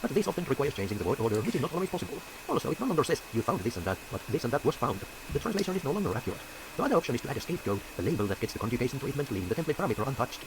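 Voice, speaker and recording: Brisk Finnish-accented man explaining. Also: medium pitch, big pitch swings, background noise. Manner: careful, explanatory, stumbles on words. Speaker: programmer presenting a video. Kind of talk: scripted tutorial narration.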